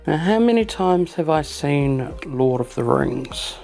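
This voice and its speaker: A man's voice: fairly high pitch, measured pace, vocal fry. Man hosting a fan video, music under. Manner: relaxed, filler-heavy talk. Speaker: host. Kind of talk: casual chat to camera.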